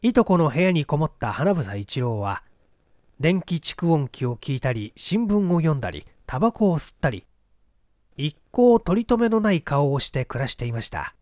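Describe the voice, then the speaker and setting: A man reads a literary mystery aloud in a dramatic, measured storytelling style. His voice sits rather high and moves expressively across a wide range. The recording is close and dry. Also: big pitch swings, a little mellow, no echo. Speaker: audiobook reader, stage performer.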